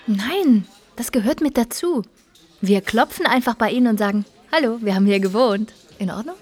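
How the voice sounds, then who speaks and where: A woman's voice: fairly high pitch, lively intonation, normal speed. A woman playing a restless, persuasive wife in a radio drama. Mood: coaxing. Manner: persuasive, insistent. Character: coaxing, restless wife out drinking at Christmas.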